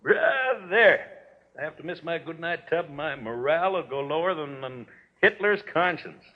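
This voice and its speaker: An actor playing a tired, fussy, well-meaning American husband in 1940s radio drama, with rambling, hesitant, folksy patter. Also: male voice, fairly high pitch, animated, normal speed, lightly acted. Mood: chatty, fussy. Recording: dull old radio drama recording.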